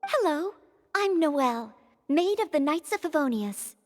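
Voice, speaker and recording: Dutiful young maid, high and lively pitch, earnest, polite, eager, video game dialogue. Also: female voice, a little slow. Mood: polite, hopeful. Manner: earnest, courteous, deferential. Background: faint music.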